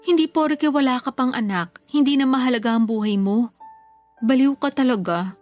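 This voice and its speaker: A woman's voice: sits high, moderate pace, a little mellow, faint music behind, close to an everyday voice. An actress playing a grateful, affectionate older sister and mother in a sentimental radio drama, speaking tenderly and earnestly. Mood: gently scolding.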